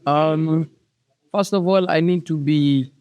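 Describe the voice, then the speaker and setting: A man's voice: on the high side, fairly even intonation, takes it slowly, a little mellow, lo-fi sound. A man with a Kenyan accent, chatting casually as a musician guest in an interview. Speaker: musician guest, singer and rapper.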